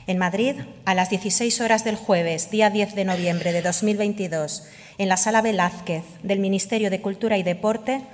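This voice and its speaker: A woman's voice: mid-range voice, darkish tone. Woman reading formally in a hall. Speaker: official reading jury minutes. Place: large indoor hall. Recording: reverberant ceremony hall.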